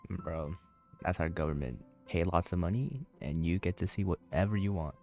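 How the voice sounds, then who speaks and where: A man's voice: low voice, moderate pace, gravelly, warm tone, faint music behind. A man giving casual, slangy reaction commentary as he plays a video game, in a laid-back manner.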